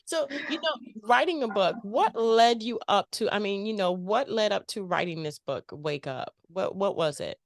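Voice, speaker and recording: A woman's voice: medium pitch, lively intonation, unhurried, dry recording, studio quality. Bubbly American woman hosting an interview. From United States. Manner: bubbly, upbeat and playful. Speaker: podcast host. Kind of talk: casual radio-style interview.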